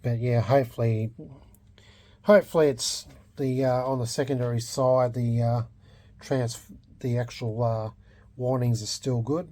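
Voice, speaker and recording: Mellow-voiced man, halting hobbyist vlog talk. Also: medium pitch, steady tone. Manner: halting, repeats and rephrases himself often. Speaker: hobbyist vlogger. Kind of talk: unscripted restoration vlog talk.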